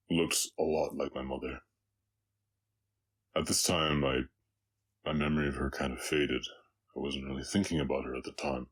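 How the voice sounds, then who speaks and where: A man voicing a brooding, remorseful orc cowboy outlaw with a slight Southern US accent, speaking haltingly and somberly in an intimate confessional roleplay. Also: rumbling low, husky, exaggerated voice. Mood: subdued, regretful. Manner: halting, somber, long pauses. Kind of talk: intimate scripted roleplay audio, confessional storytelling.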